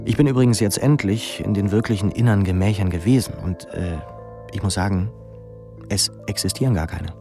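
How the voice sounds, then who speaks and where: A man playing a brooding, ironic young pupil in an introspective radio drama monologue, musing and halting. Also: fairly low pitch, music in the background, natural voice. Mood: wry, puzzled. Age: young adult.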